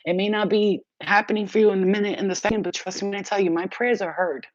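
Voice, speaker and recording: A woman's voice: medium pitch, steady tone, quick pace, dry recording, muffled recording. Blunt, profane woman preaching to viewers. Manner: addresses viewers directly, reads their comments aloud. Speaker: spiritual healer livestream host. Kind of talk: spontaneous spiritual livestream talk.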